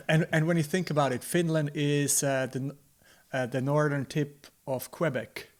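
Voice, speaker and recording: A man's voice: fairly high pitch, flat delivery, normal speed. A man from Switzerland with a Swiss German accent, chatting casually as a podcast guest, laid-back and joking. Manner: laid-back, teasing, laughs easily. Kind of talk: casual conversation.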